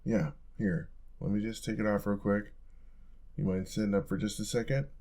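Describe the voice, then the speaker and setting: A young male roommate played by a man talks in a low voice with plenty of gravelly fry. He is caring and lightly teasing, reassuring in a casual way. The delivery is intimate audio roleplay in an ASMR style. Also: steady tone, moderate pace, warm tone. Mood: gentle, caring.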